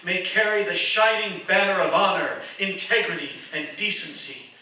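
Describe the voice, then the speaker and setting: A noble, preaching spirit in an old-fashioned radio play, acted by a man. The delivery is solemn and oratorical, fairly high and bright. It rings from far back in an echoing space. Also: moderate pace. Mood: solemn, stirring. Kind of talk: old-time radio drama acting. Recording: echoey stage, distant microphone.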